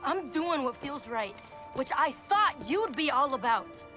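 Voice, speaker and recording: A defiant eighteen-year-old girl in a game cutscene. Her voice is high and bright, swinging up and down as she asserts herself with exasperated sass, with faint music behind. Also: very animated, a little slow, heavily acted. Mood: defiant, insistent. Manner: exasperated, assertive, youthful slang. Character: defiant, sassy eighteen-year-old daughter, headstrong idealist. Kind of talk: video game cutscene acting.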